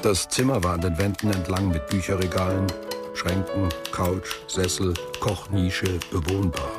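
An actor playing a sober, matter-of-fact male narrator in a literary radio play, speaking in a measured way. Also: low voice, fairly even intonation, over loud music. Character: sober male narrator recounting a traveller's return.

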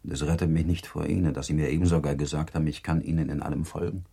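A stern baron in a radio play, with a very low, creaky voice and a dark, husky edge. He is proud and brooding, formal and tightly controlled, yet insistent. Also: little pitch movement, racing, full character voice. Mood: bitter, insistent. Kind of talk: German radio drama acting.

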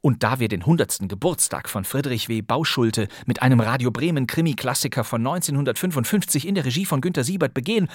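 A man's voice: medium pitch, animated, fast, hardly any pauses, dry recording, clean studio sound. A man hosting a crime podcast, introducing an old radio play in a wry, conversational way. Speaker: podcast host.

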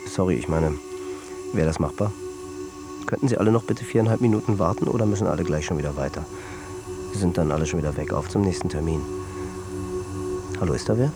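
A low male voice plays a jet-set manager losing his grip. He delivers a tense, circling radio-play monologue with music underneath. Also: fast, pauses often. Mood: pleading, frustrated. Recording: music behind the voice.

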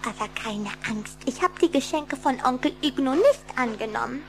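A woman's voice: high voice, expressive, normal speed, slight background noise. An actress playing a plucky, cheeky little vampire girl in a radio play, pert and coquettish. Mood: teasing. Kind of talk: German radio play acting.

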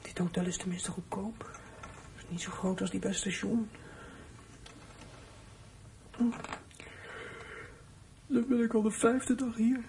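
A man's voice: sits high, brisk, creaky, a little mellow, slight background noise. A young man playing a troubled, resentful teenage runaway in a radio drama, muttering his thoughts and flaring up in indignation. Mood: tired, lonely. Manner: muttering to himself, bursts of indignation. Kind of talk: radio drama acting with inner monologue.

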